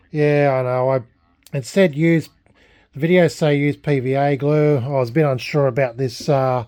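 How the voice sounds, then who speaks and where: A man's voice: mid-range voice, warm tone. An Australian man chatting casually in a vlog, rambling and self-deprecating as he talks in a blunt way. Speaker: vlogger restoring a vintage radio.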